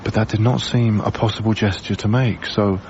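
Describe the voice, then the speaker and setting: An actor playing a gentle, heartbroken young British lodger in a radio drama, speaking in a measured, reflective way. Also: male voice, lowish voice, fairly even intonation, vocal fry, noisy background. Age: young adult. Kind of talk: BBC radio drama acting, intimate first-person narration. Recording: dull radio broadcast recording.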